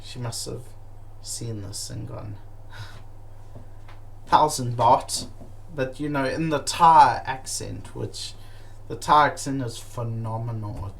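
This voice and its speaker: Slow-talking man telling comic travel stories. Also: mid-range voice, creaky. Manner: animated, swearing, lots of fillers and restarts. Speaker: comedic storyteller.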